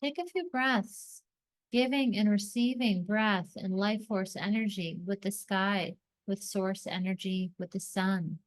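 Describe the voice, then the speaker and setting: A woman narrates a guided meditation at a slow pace. Her voice sits at an average pitch for a woman. She delivers scripted, soothing instructions in short, deliberate phrases, leaving space between them.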